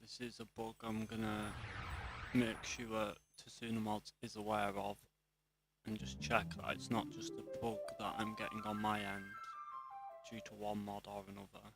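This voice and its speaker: Low-pitched, even-toned man commentating gameplay. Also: normal speed, vocal fry, over loud music. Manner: explanatory, talking viewers through steps. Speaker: gameplay video host. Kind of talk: casual let's play commentary.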